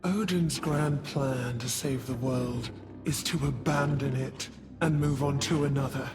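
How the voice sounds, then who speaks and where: A man's voice: medium pitch, steady tone, unhurried, lightly acted. An actor playing a cunning, cruel villain god in a video game cutscene, smug and theatrical as he taunts. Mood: scheming, persuasive. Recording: loud music under the voice.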